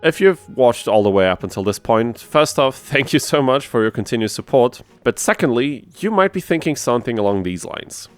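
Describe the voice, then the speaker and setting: A man's voice: medium pitch, very animated, a little fast, hardly any pauses, no echo. A man hosting a gaming video, narrating a challenge run from a script with conversational, self-deprecating asides. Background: music under the voice.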